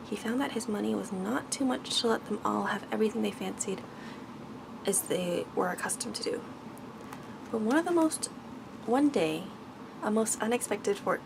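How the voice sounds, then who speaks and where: Female ASMR storyteller, mid-pitched, breathy and near-whispered, with creaky edges, gentle halting delivery. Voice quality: soft, breathy, often slipping into whisper. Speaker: ASMR host reading a bedtime story. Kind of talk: ASMR, soft-spoken storybook reading. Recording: noticeable background noise.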